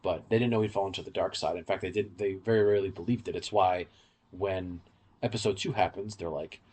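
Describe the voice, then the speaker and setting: A man's voice: low-pitched, fairly even intonation, brisk, a little mellow, breathy edge. A man co-hosting a podcast, giving casual, in-depth fan commentary in a rambling, explanatory way. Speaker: podcast co-host.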